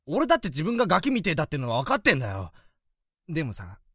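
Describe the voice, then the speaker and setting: Low-voiced cocky schoolboy. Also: female voice, wildly swooping pitch, very fast, fairly bright tone, no room sound, studio quality, exaggerated voice. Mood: defensive, cocky. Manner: rough, cocky boyish speech. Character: brash, childish, boastful high school soccer boy. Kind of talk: youth romantic comedy voice drama acting.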